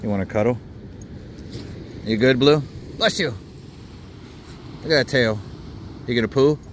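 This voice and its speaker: A man's voice: mid-range voice, a little slow, a little hiss or hum. A man, a dog trainer, talking playfully to his dogs on a beach at night, recorded on a handheld camera. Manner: coaxing, playful talk to dogs. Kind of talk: casual talk to his dogs.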